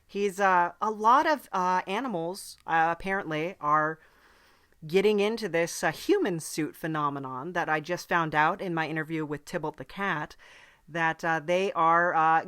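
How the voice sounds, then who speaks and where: A woman hosts a casual, playful interview in a lowish voice. She is chatty and agreeable, with a hesitant delivery. The recording is dry, with no room sound. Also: fairly bright tone, no echo. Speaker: podcast host. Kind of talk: casual in-character comedy interview.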